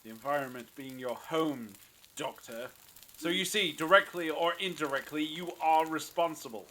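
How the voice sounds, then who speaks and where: A man's voice: fairly high pitch, moderate pace, sharp tone, a little hiss or hum, rough recording. A man playing a menacing, accusing leader in an audio drama, commanding and sneering as he interrogates. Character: menacing, accusing male leader bullying the Doctor.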